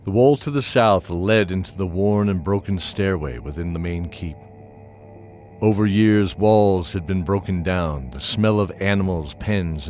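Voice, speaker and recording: A man narrating a fantasy audio drama from a script in a measured storytelling manner. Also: deep voice, a little mellow. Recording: music playing behind.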